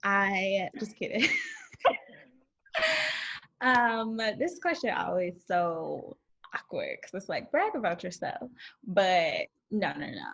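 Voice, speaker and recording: A chatty young woman from Detroit speaks candidly as an interview guest, her voice sitting at an ordinary middle pitch. The audio comes through dull and lo-fi over a video call. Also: big pitch swings, takes it slowly, gravelly, long pauses, a bit bright. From Detroit, USA. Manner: chatty, candid, laughs easily.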